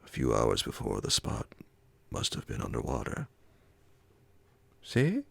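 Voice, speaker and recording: A man reading classic horror fiction as an ASMR audiobook, in a hushed, measured, breathy and gravelly voice. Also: extremely low pitch, no echo. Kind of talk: ASMR audiobook scripted narration.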